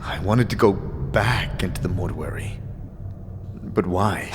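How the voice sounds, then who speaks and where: An actor playing a grave, guarded undead wanderer in a fantasy audio drama, with a terse, skeptical manner. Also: male voice, low-pitched, expressive, slow. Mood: guarded, questioning. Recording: long reverb, clearly noisy ambience behind.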